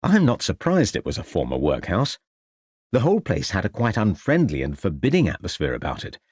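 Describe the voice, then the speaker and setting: A man's voice: sits low, wildly swooping pitch, fairly quick, darkish tone, husky, no room sound, clean studio sound, full character voice. A man voicing a dutiful junior policeman giving a formal, matter-of-fact report in an audiobook. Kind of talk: audiobook character acting.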